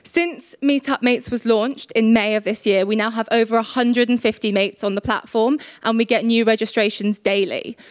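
A young woman presenting a prepared conference talk on stage, steady and polished. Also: on the high side, normal speed, hardly any pauses, dry recording, studio quality. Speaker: conference speaker.

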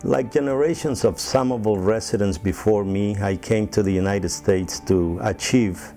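Earnest man from El Salvador, lowish voice. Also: vocal fry, dark tone, faint music behind. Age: 40s. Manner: earnest, measured, with frequent "uh" pauses. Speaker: political candidate. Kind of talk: campaign video address. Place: outdoors on city streets and inside a restaurant. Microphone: lapel mic.

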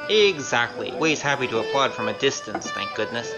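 A woman voicing a wry, quick-witted young male trickster in a kung fu audio drama. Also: rumbling low, sing-song, music in the background. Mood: pleased, sly. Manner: wry, casual, scheming.

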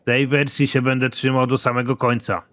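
Gruff, cocky cartoon army major done by a man. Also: medium pitch, level tone, normal speed, fairly bright tone, voice pushed down, close to an everyday voice. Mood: deadpan, stubborn. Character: crude, trigger-happy army major, dim and cocky man. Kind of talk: animated comedy series dialogue.

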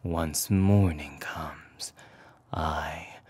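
A man voicing an ominous, softly courteous male demon in an ASMR roleplay, speaking in a slow, controlled, breathy whisper. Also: a bass voice, steady tone, dry recording, full character voice. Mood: calm, ominous.

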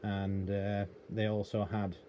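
A man's voice: fairly low pitch, flat delivery, takes it slowly, mellow. A middle-aged British man giving an unscripted, rambling, matter-of-fact hands-on explanation at an indoor workbench. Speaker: radio technician and hobbyist presenter. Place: indoor cluttered electronics workbench. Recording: noticeable room noise behind voice.

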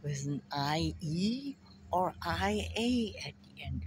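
An older woman with a German accent, musing slowly to a phone camera in her backyard about memory and family. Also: lowish voice, lively intonation, noisy background. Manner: slow, musing, drifting between thoughts. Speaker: vlogger. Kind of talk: rambling personal monologue to camera. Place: backyard outdoors by a fence. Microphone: phone camera at arm's length. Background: crickets and insects.

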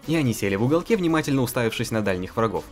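A man's voice: medium pitch, lively intonation, racing, soft background music. A young man reading a visual novel aloud and chatting about it in a playful, giggly way. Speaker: let's-play host.

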